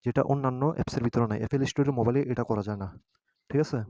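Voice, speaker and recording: A man with a mellow, relaxed voice at a middling pitch walks through an app step by step. The sound is dry and close. He talks informally and haltingly, often pausing to seek agreement. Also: no echo. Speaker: tutorial host.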